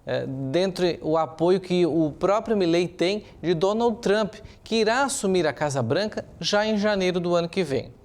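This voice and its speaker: A man's voice: high voice, lively intonation, moderate pace, few pauses. A man with a Brazilian accent giving opinionated, explanatory news commentary as a journalist, speaking semi-improvised and posing rhetorical questions.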